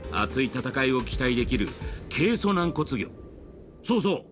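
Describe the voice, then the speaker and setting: An actor playing a gruff, easygoing fishing lover in a video game, with rough, folksy, teasing speech. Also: male voice, medium pitch, very animated, fairly quick, over music, lightly acted. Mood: breezy, friendly. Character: gruff, easygoing man who loves fishing. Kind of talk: Japanese video game voice acting.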